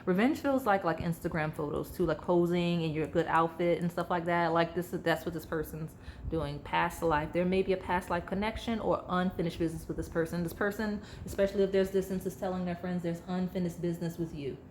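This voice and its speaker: Chatty, slightly hoarse tarot-reading woman. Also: fairly low pitch, fairly even intonation, a little fast, a little mellow, slight background noise. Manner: chatty, rambling, heavy use of "like", addresses viewers directly. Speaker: tarot reader. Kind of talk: casual pick-a-card tarot reading to camera.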